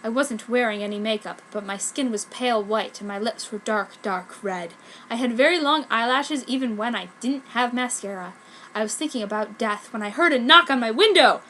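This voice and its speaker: High, bright-voiced woman reading a story. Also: moderate pace, a little hiss or hum, rough recording. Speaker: narrator reading fan fiction aloud. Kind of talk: dramatic comedic story reading.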